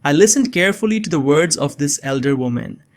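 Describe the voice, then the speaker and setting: A man's voice: on the high side, lively intonation, fairly quick, natural voice. A young man playing a bewildered innocent framed as an assassin, narrating his own story in a scripted drama. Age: young adult. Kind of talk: first-person scripted narration with dialogue.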